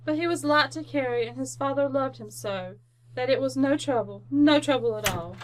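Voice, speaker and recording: A loving, sorrowful wife and mother played by a woman speaks in a high voice. Her delivery is tender and earnest, in the style of old-time radio drama. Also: moderate pace, slight background noise, close to an everyday voice, rough recording. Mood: grieving, tender.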